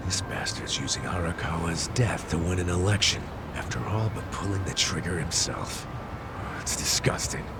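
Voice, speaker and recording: A man's voice: low-pitched, normal speed, very noisy. An actor playing a hot-headed, earnest underdog yakuza in an English video game dub, blunt and colloquial in his delivery. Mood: bitter.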